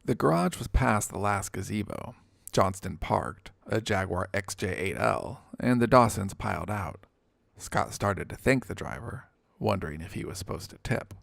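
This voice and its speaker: Male audiobook narrator, lowish and gravelly, scripted fiction read, very dry sound. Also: expressive, moderate pace, no room sound.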